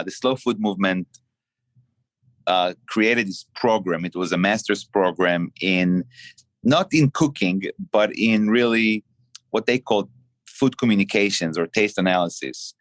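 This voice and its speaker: A middle-aged man from Israel, a chef and restaurant owner, talking through his story in a halting way with many fillers and restarts on a podcast. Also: sits low, no echo. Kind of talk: casual podcast interview.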